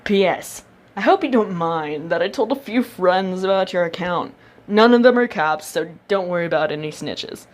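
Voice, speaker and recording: A grateful male villain in an audiobook, acted by a woman. The voice sits a little low and reads a note of thanks with loyal, casual sincerity. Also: animated, moderate pace, lightly acted. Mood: casual, reassuring.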